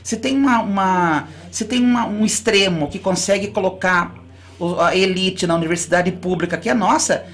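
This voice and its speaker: Earnest school director with restarts. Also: female voice, medium pitch, moderate pace. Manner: earnest, speaks in long explanatory runs with frequent restarts. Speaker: school director, interview guest. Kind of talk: radio interview. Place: radio studio.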